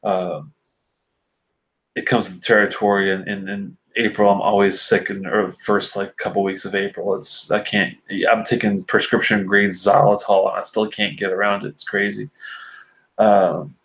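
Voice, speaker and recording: A man's voice: fairly low pitch, flat delivery, moderate pace, a bit bright. A man hosting a casual live stream about whisky, reading and answering viewer comments in a rambling, filler-heavy way, sounding stuffy and sinusy from allergies. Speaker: whisky reviewer host.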